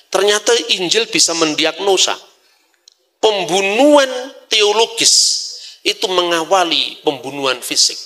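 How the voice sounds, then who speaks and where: A middle-aged man with a Javanese accent giving a lively scripture talk, asking himself questions and answering them, with Javanese asides. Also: sits high. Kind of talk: lively lecture-style talk.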